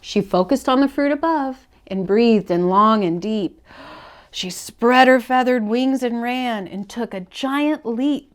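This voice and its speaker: A woman's voice: a little high, takes it slowly. Woman reading a children's storybook aloud. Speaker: children's read-aloud host at a public library. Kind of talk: storybook read-aloud for children, rhyming verse.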